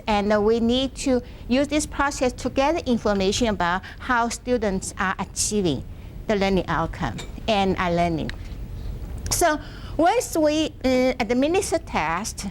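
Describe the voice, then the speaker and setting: A soft-spoken woman presenting a university workshop, speaking haltingly with restarts, seated in a classroom without a microphone. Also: fairly high pitch, a little hiss or hum. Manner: halting, frequent restarts and fillers. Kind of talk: academic workshop lecture. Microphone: no microphone, room sound.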